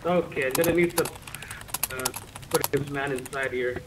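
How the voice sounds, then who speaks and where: A man's voice: medium pitch, fairly even intonation, a little slow. A man talking casually in character as a player in an online roleplay game. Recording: faint noise behind game voice chat.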